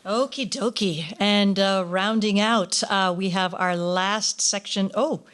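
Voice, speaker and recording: A woman's voice: medium pitch, slow, a bit bright. Woman casually hosting a ceremony. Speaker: ceremony host. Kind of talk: casual unscripted emceeing.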